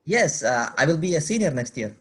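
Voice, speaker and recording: An actor reading an eager, polite teenage shop cashier with a husky voice and halting, formal delivery. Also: male voice, on the high side, sing-song, fairly bright tone, full character voice. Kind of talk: table read of a film script.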